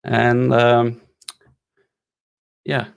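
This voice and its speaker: Meetup host, male, gravelly tone, unpolished intro over a headset call. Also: mid-range voice, completely flat delivery, dull audio. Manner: loose, halting, with fillers. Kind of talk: introducing a speaker.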